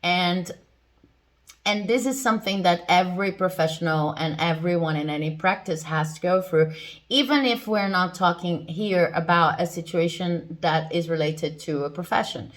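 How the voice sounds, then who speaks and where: Low-voiced woman reading tarot. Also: normal speed. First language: Portuguese. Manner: reassuring, explanatory. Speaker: tarot reader. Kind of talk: pick-a-card tarot reading.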